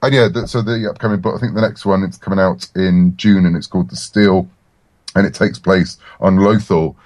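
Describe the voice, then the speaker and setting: A man talking casually as a writer guest in a podcast interview, telling stories in a self-deprecating, halting way. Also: low-pitched, steady tone, a little mellow, dry recording.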